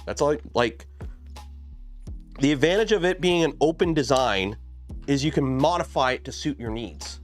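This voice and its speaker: A man's voice: medium pitch, expressive, normal speed, long pauses, faint music behind. A man hosting a casual livestream, chatting in a rambling way with many fillers and restarts while losing his voice. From Canada. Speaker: livestream host building a 3D printer kit.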